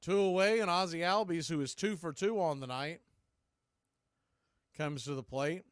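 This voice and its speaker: A man calling a baseball game live in radio play-by-play style, in short clipped phrases between pitches. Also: moderate pace, clean tone, no echo, clean studio sound. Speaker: play-by-play baseball announcer and stream host.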